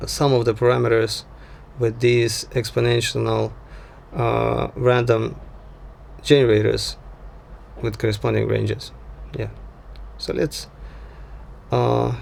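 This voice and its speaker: Guy walking thru a software tutorial, super creaky voice, slow n halting. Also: mid-range voice, slight background noise. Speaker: tutorial instructor. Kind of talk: informal software tutorial narration.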